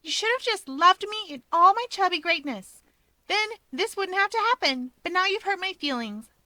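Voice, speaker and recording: A woman puts on a cheeky young mouse woman for a storybook reading. Her voice is very high and bright, wordy and playfully dramatic, always teasing. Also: lively intonation, moderate pace, no echo, exaggerated voice, low-quality recording. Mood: mock hurt.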